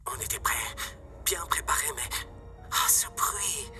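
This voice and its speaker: A man's voice: slow, pushed and bright, soft background music. A man voicing a shaken, defeated cartoon football player. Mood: dazed.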